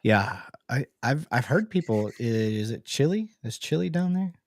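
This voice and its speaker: A middle-aged man hosting a podcast, curious and quick to ask questions and laugh. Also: medium pitch, expressive, a little mellow, no room sound, clean studio sound. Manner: curious, asks lots of questions, laughs easily. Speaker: podcast host. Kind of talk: casual chat.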